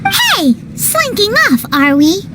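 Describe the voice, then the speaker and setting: A woman voicing a tiny, excitable, nosy fairy companion in a video game, squeaky and childlike, with blunt exclamations. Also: slow, background noise, heavily acted. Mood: alarmed, accusing. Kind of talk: video game cutscene acting.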